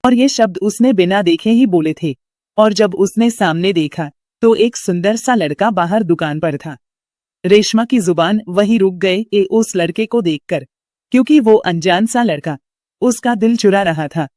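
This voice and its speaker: A woman's voice: mid-range voice, unhurried, darkish tone, no echo, studio quality. A woman reading a scripted story as its narrator.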